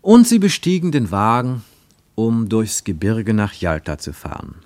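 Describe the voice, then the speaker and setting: Male narrator for literary prose, low-pitched voice, very dry studio sound. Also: wildly swooping pitch, unhurried, a little mellow, dry recording. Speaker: narrator reading a literary story.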